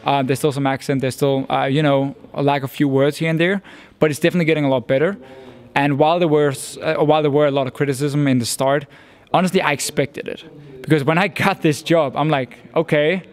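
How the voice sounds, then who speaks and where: Danish-accented young man, slightly high voice. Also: fairly even intonation, a little fast. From Denmark. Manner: earnest, thoughtful, with frequent restarts and fillers. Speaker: esports caster. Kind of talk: casual interview answers.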